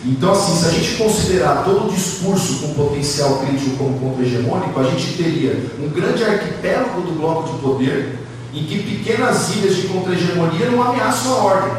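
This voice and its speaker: A Brazilian man speaks as an academic at a conference panel, in a somewhat high voice. His delivery is discursive, dotted with rhetorical tag questions. The sound is heavily reverberant and picked up far from the mic, as in a big hall. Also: lively intonation, brisk. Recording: very echoey hall, distant mic, faint noise.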